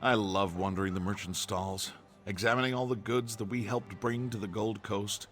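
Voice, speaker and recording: A man's voice: on the low side, steady tone, moderate pace, a bit bright, natural voice. An AI-voiced man playing an earnest, nervous merchant in game dialogue, with faint music behind. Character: anxious male merchant clerk, earnest, proper.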